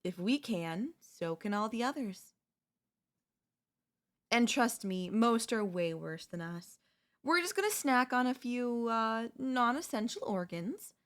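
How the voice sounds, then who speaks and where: A woman's voice: fairly high pitch, expressive, slow. A woman voicing a chatty female zombie who cheerfully and conversationally coaxes in a comedic audio roleplay. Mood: placating, coaxing. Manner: conversational, coaxing, wheedling. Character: chatty, cheerfully persuasive female zombie craving human flesh.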